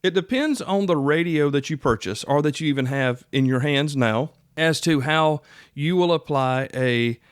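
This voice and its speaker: A man's voice: fairly high pitch, moderate pace, smooth, no room sound. Middle-aged man, dry close sound, folksy podcaster. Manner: folksy, conversational. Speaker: podcast host. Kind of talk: casual instructional podcast talk.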